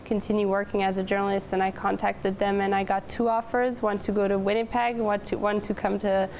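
A woman's voice: mid-range voice, little pitch movement, a little fast, a little mellow, slight background noise, low-quality recording. A woman in her twenties from Montreal, chatting casually as a studio interview guest on a lapel microphone. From Montreal, Canada. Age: 20s. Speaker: interview guest, newspaper editor-in-chief. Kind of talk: casual chat.

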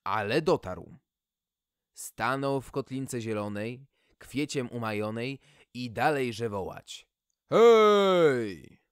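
A man's voice: mid-range voice, takes it slowly, clean tone, dry recording, studio quality. A man reading a humorous fairy tale as podcast host, in a theatrical, folksy storytelling manner.

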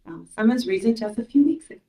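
A woman in her thirties from Ukraine whose first language is Russian, reading her poems aloud in a measured, soft-spoken way at a mic stand in a small gallery room. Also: a little high, animated. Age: 30s. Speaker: poet. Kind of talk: poetry reading. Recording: roomy room echo.

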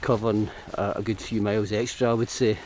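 A man's voice: on the low side, little pitch movement, a little fast, a little mellow, slight background noise. Scottish man, hiking vlogger, rambling casual commentary, camera mic outdoors. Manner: chatty, rambling, self-correcting. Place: outdoors on hill paths.

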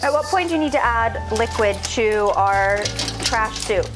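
A woman's voice: a little high, animated, unhurried, over loud music. A woman in her thirties chatting casually and playfully as she co-hosts a home cooking video, with a sarcastic streak. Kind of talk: casual banter while cooking. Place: home kitchen.

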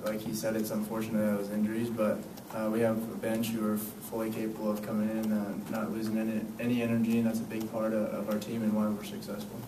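A man's voice: on the low side, fast, hardly any pauses. A young man, a college basketball player, answering reporters' questions at a postgame press conference with lots of "um" and "you know". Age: young adult. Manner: relaxed, filler-heavy answers with many "um" and "you know". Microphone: table mic, picked up from a distance. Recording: echoey room, distant mic, noisy.

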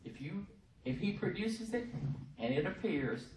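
A middle-aged woman at a podium, talking loud and emphatic in a homespun way. The sound carries across an echoey room from a mic some distance away. A faint hiss sits under it. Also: on the low side, sing-song, measured pace. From Texas. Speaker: presenter at a workshop. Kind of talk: informal training talk. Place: conference room. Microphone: podium microphone, heard from across the room.

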